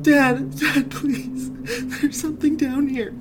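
A man voicing a frightened young boy who pleads tearfully with his father in a high, strained voice. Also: flat delivery, slow, noisy background. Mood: terrified.

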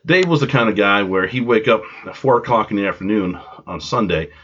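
A man's voice: lowish voice, animated, fairly quick, a bit bright, husky. Stuffed-up man rambling through a story. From Long Island, New York. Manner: rambling, self-deprecating, goes off on tangents. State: congested from a cold. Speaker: podcast host. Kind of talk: casual storytelling monologue. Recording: dull lo-fi home recording.

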